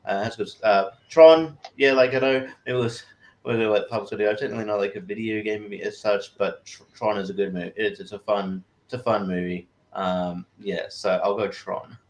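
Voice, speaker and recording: A man's voice: fairly low pitch, fairly even intonation, normal speed. A man chatting casually as a panelist in a hesitant, rambling way. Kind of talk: casual chat.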